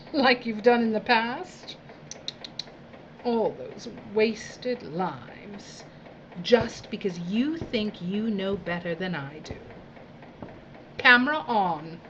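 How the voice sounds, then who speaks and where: A woman's voice: mid-range voice, expressive, drawn-out words, long pauses, fairly bright tone, lo-fi sound, slight background noise. An actress playing a domineering, sadistic female boss in an audio drama, with a condescending, mock-sweet, imperious drawl. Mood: menacing, scolding. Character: domineering, theatrical, sadistic female boss of dystopian work crews. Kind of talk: theatrical audio drama acting.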